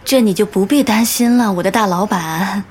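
A woman playing a caring, resolute professional woman in love in a Chinese audio drama, gently firm and reassuring. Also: mid-range voice, normal speed, a little hiss or hum, lightly acted. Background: prominent background music.

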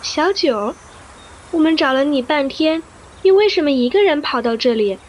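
A young princess acted by a woman, fussy and caring like an elder sister. She has a very high voice in audio drama style, over faint background noise. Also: animated, moderate pace. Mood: worried scolding. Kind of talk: Chinese audio drama acting.

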